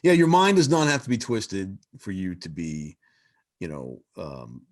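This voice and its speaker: A man speaking as a panelist on an online fan convention panel, wry and quick to interject. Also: low voice, huge pitch swings, no echo, clean studio sound. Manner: wry, interjecting, many I means. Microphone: video call.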